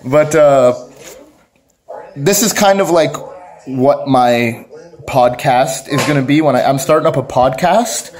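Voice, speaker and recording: A man's voice: medium pitch, slow pace, pauses often, darkish tone. A man chatting casually on his vlog, rambling and self-mocking, with plenty of swearing. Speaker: vlogger. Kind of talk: casual joking vlog chat.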